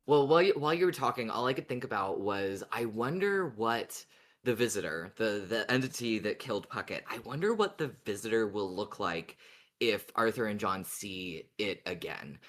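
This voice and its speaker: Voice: medium pitch, lively intonation, normal speed. A podcast co-host in casual, speculative fan chat, musing and thinking aloud.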